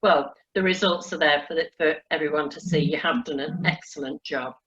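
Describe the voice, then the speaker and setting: A woman's voice: deep voice, fairly even intonation, brisk, a bit bright. A woman speaking as an honorary colonel, congratulating people in an effusive, encouraging, chatty way, on dull lo-fi audio. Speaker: honorary colonel hosting a presentation. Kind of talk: warm congratulatory ceremonial chat.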